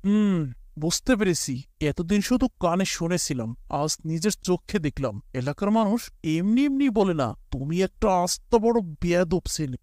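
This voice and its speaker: Stern middle-aged father played by a man, cartoon drama: high-pitched, reproachful delivery, dry sound. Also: very animated, unhurried, a little mellow, no room sound. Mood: shocked, disapproving. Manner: scolding, reproachful. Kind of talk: Bengali moral cartoon voice acting.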